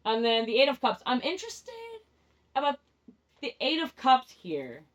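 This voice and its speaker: A woman's voice: high-pitched, big pitch swings, normal speed, a bit bright. A young woman whose first language is Spanish, doing a rambling, candid tarot reading, often restarting her sentences and laughing at herself. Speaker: tarot vlogger. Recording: dull lo-fi sound.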